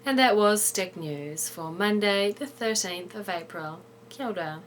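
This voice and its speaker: A woman host reading a short scripted news item in a clear, measured way, then asking interview questions. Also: medium pitch, expressive.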